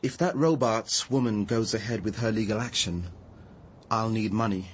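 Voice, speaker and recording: An actor playing an earnest, gentle, hard-up idealist activist in a radio drama, mild and a little preachy. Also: male voice, lowish voice, normal speed, slight background noise, close to an everyday voice. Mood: anxious.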